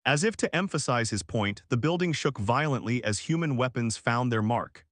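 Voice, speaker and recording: A man's voice: mid-range voice, animated, normal speed, a bit bright, no room sound, clean studio sound. A man reading a dramatic science fiction story as a scripted narrator.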